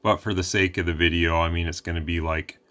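A man hosting a casual radio demo at his workbench, talking in a relaxed, plain-spoken way with rambling asides. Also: sits low, fast talker. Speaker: radio repair channel host.

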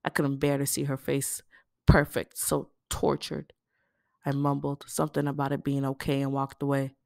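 Low-pitched woman reading a novel, creaky. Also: monotone, normal speed, darkish tone, no room sound, studio quality. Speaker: audiobook reader. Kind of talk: scripted narration of a first-person novel.

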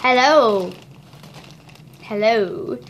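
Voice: mid-range voice, extremely slow, a bit bright. A young vlogger chatting casually in a giggly, exclaiming, slangy way, recorded on a webcam mic in a small bedroom. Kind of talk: casual challenge vlog chat. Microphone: webcam or laptop mic at arm's length. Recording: noisy home recording with crinkling foil. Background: crinkling, crumpling foil balloon.

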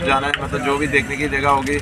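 A man's voice: mid-range voice, completely flat delivery, fast talker, a loud crowd. A young man from Jammu and Kashmir, narrating a casual travel vlog into a phone, pointing things out to his viewers. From Jammu and Kashmir, India. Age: young adult. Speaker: travel vlogger. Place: outdoors on busy streets, in vehicles and at monuments. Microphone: phone at arm's length. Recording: noisy city street with traffic.

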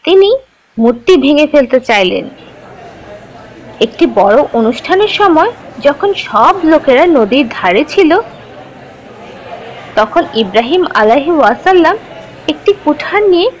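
High-pitched, expressive young storyteller. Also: female voice, measured pace, long pauses, some chatter behind, poor audio. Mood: instructive, engaged. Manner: expressive, instructive. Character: young storyteller teaching children religious tales. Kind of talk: scripted storytelling for children.

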